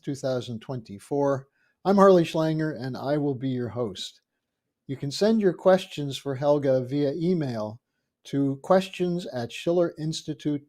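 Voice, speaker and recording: An older man hosts a webcast from a book-lined home office, reading viewers' questions aloud over a video call. His voice is warm and mellow, a bit higher than most men's, and his delivery is measured. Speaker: host.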